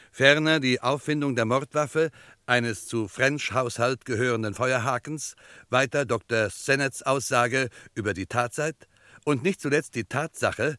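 Male vintage radio narrator, bright forceful tone, dry sound, formal, precise scripted narration. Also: medium pitch, no room sound. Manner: formal, precise recap delivery. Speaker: radio play announcer and narrator.

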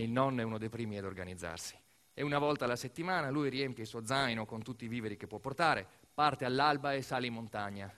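A man performs a dramatic storytelling monologue for radio theatre, emphatic and full of deliberate pauses. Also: mid-range voice, fairly bright tone. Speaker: actor-narrator of a theatrical monologue.

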